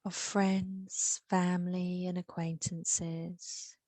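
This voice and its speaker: Woman, English accent, guided meditation, very slow pace, even low-moving intonation, soothing, long pauses. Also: dry recording.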